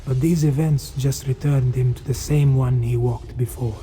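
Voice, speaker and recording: A man playing a reflective cat-folk warrior monk in a fantasy video game, speaking in a measured, solemn way. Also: medium pitch, fairly even intonation, mellow, faint music behind, natural voice. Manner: speaks of himself in third person, measured, solemn.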